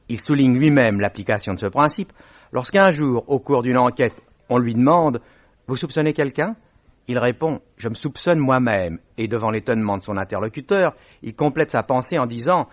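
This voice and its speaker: A man giving a formal, measured, articulate introduction to a radio drama. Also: medium pitch. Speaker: presenter. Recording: dull lo-fi old radio recording.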